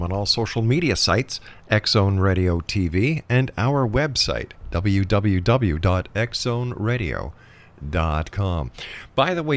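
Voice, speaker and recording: A man hosting a radio talk show in a conversational style. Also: fairly low pitch.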